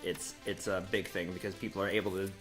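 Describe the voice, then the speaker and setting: Fast, bright-voiced man chiming in on podcast. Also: low voice, flat delivery, faint music behind. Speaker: podcast co-host. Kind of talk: casual chat, chiming in.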